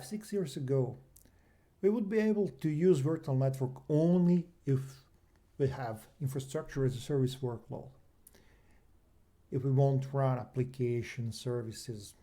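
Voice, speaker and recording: A man from Serbia with a Serbian accent, a native Serbian speaker, giving an explanatory technical presentation as a trainer, in halting non-native English with questions he poses to himself. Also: medium pitch, mellow. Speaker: cloud engineer and trainer presenting an online session.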